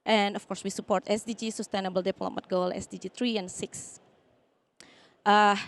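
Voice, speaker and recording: Woman doing a calm company pitch on stage, explains things step by step. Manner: steady, explanatory, with fillers. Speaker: startup founder pitching. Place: outdoor stage.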